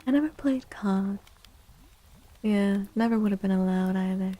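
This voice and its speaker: Soft-spoken woman, breathy and dark-toned ASMR. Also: medium pitch, normal speed, poor audio. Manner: gentle, hushed, often slipping into whispers. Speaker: livestreamer hosting an ASMR stream.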